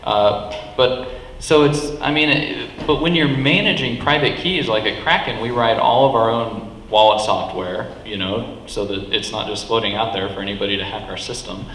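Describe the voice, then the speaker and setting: A man's voice: lowish voice, steady tone, normal speed, fairly bright tone, a little hiss or hum. A man speaking on a panel at a live meetup, talking emphatically with many restarts. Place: meetup venue hall. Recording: echoey hall with long reverb.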